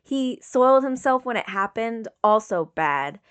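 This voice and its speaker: A woman's voice: fairly high pitch, lively intonation, unhurried, clean tone, pitched above the performer's own voice. A woman reading the part of a composed, clinical young female medic in a story, direct and matter-of-fact. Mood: clinical, concerned. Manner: matter-of-fact, clipped questioning.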